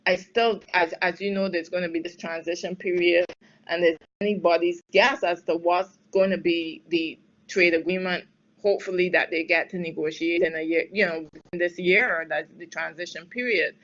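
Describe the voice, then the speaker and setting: A woman's voice: on the low side, steady tone, moderate pace, fairly bright tone, highs cut off. A woman from Barbados answering audience questions on trade and health policy in a webinar, thinking aloud with fillers and firm emphasis at times. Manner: thoughtful, many fillers and restarts, emphatic in places. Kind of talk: spontaneous expert Q&A on a webinar.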